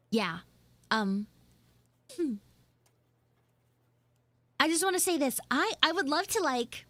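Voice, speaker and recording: A woman's voice: a little high, a bit bright, no room sound, exaggerated voice. A woman voicing a flustered, shyly smitten tsundere schoolgirl in a live audio roleplay, hesitant and coyly defensive. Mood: shy, hopeful.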